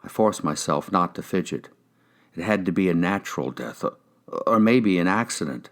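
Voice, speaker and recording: Low-pitched man narrating crime fiction. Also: animated, normal speed. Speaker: actor reading an audiobook. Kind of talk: scripted first-person crime fiction narration.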